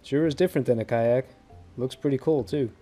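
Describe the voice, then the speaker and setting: A man in his thirties casually narrating a how-to project on a docked boat, easygoing and quick to laugh at himself. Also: mid-range voice, dark tone, faint music behind. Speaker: fishing video host.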